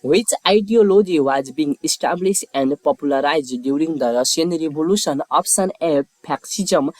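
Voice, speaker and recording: A man hosting a quiz, reading scripted questions and lettered options in a measured, formulaic way. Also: on the high side, a little mellow, no echo, rough recording. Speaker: quiz host.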